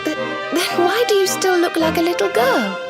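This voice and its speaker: A woman playing a gentle, anxious, caring young twin sister in a video game, speaking softly and earnestly. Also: very high voice, lively intonation, normal speed, creaky, loud music behind the voice, full character voice. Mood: worried. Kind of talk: video game cutscene acting.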